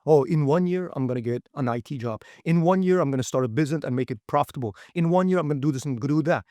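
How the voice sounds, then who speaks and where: Man, English, motivational presenter, blunt, slightly high voice, dry close sound. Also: fast talker, darkish tone, no room sound. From Uyghur region. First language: Uyghur. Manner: direct, frequent "okay?" and "right?" checks, non-native grammar. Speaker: seminar presenter. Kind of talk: motivational talk.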